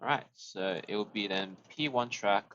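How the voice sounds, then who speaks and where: A man's voice: lowish voice, moderate pace, fairly bright tone, muffled recording, a little hiss or hum. A young man, chatting while he codes on a live stream, thinking aloud, restarting phrases and chuckling often. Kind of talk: casual live-stream coding chat.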